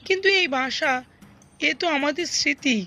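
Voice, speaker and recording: A woman's voice: high-pitched, normal speed, sharp tone. A woman voicing a serious, protective male father bird in a cartoon, speaking in a grave, warning tone. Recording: music playing behind. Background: background music.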